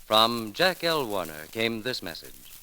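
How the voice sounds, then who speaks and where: A man's voice: lowish voice, big pitch swings, unhurried, pushed and bright. A man announcing a scripted radio tribute in the 1930s manner, formal, solemn and declamatory. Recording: noisy 1930s radio broadcast recording.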